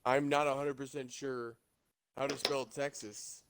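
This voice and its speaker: A man in a casual group call, building up deadpan jokes with dark humor. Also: medium pitch, unhurried, smooth.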